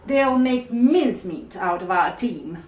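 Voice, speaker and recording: A woman's voice: a little high, lively intonation, unhurried. A woman voicing a worried old male school janitor in a dramatic, foreboding way for a storybook. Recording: echoey room.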